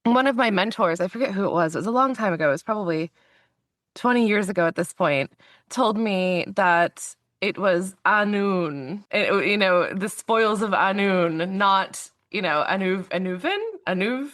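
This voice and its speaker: A woman's voice: mid-range voice, measured pace, a bit bright. A woman hosting a podcast interview, effusive and self-deprecating as she praises her guest. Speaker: podcast host.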